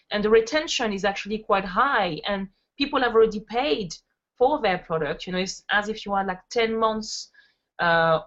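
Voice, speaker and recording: A woman in her thirties from France, chatting in a casual interview as a guest and telling stories with frequent fillers. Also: mid-range voice. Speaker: interview guest, network marketer. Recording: dull lo-fi audio.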